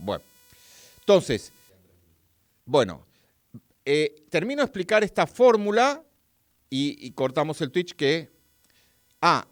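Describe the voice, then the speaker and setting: A man's voice: a little high, no echo. A man with an Argentine accent giving a chatty, live-streamed physics lesson, talking straight to his viewers. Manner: chatty, talks straight to viewers and reads their chat. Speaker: physics teacher.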